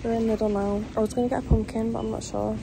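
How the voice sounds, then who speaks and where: A woman's voice: a little high, flat delivery, fast, creaky, over music. A woman chatting casually to her vlog, recorded on a phone. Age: 20s. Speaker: vlogger. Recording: noisy ambience, outdoors and in car.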